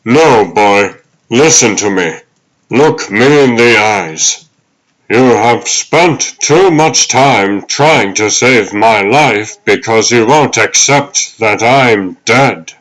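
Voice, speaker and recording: A man voicing the ghost of an old butler, fatherly, earnest and deliberate, in a dramatic comic dub. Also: on the low side, fairly bright tone, rough recording. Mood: solemn, caring.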